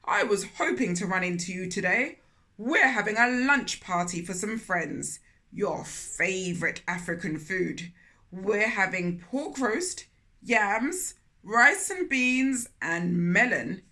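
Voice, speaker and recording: Woman voicing a loud, hearty storybook hippo. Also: medium pitch, lively intonation, slow pace, full character voice. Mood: hearty, inviting. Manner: bellowing, emphatic, hospitable. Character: friendly, hearty hippo, bellowing lunch invitations.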